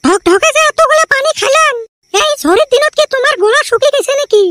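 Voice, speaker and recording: A woman's voice: animated, a little fast, noisy background. A woman voicing a quarrelsome, scatterbrained cartoon village wife with a Rajbongshi Bengali accent and an artificially raised voice. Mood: scolding. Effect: artificially raised cartoon pitch. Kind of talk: comic cartoon dialogue. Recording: loud background music.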